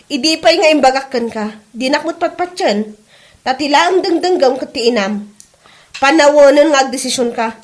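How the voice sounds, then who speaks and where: A woman plays a young wife fed up and pushing her husband. Her pitch sits high, and she complains insistently in comedy drama style. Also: animated, normal speed. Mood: indignant.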